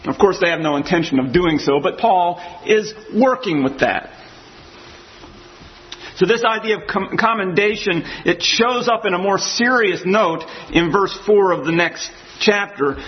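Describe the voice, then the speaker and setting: A man with a rather high voice gives an expository sermon. He reads scripture passages aloud and then works through them verse by verse. The recording sounds muffled and lo-fi. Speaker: preacher.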